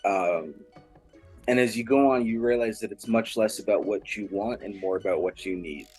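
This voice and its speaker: A man working as an interviewer, asking long, earnest questions in a measured conversational way. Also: on the low side, darkish tone, breathy edge, soft background music.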